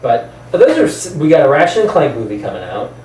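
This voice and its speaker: A man's voice: fairly high pitch, sing-song, fast, darkish tone. A man hosting a video channel in casual chat, rambling and informal, sometimes trailing off. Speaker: host of a video channel about films and games. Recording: roomy echo, distant mic, faint noise.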